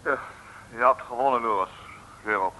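An actor playing a haughty, blustering gentleman gang boss in an old Dutch radio drama. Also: mid-range voice, moderate pace, slight background noise, lightly acted. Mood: defeated. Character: blustering gentlemanly male gang boss, indignant when cornered. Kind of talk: 1950s-60s Dutch radio drama acting. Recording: dull, very echoey old radio recording.

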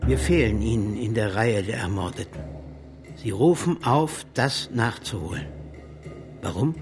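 A man's voice: on the low side, expressive, unhurried, a little mellow, soft background music. An actor playing an embattled male socialist revolutionary in a German radio drama, arguing in an impassioned, rhetorical way. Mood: indignant. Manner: rhetorical, declamatory.

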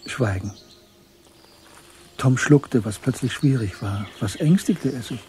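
A man narrating a German literary radio play in a measured, sober storytelling manner. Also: mid-range voice, a little mellow, natural voice. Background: music.

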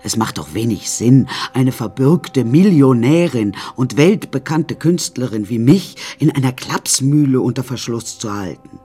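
A woman's voice: rumbling low, expressive, a little slow, few pauses, warm tone, husky, full character voice. A vain, self-important woman addresses her listeners in a radio play. Her middle-aged voice swoops widely and theatrically, full of deluded grandeur, with some music in the recording. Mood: self-satisfied, persuasive. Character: vain, deluded woman artist confined in an asylum.

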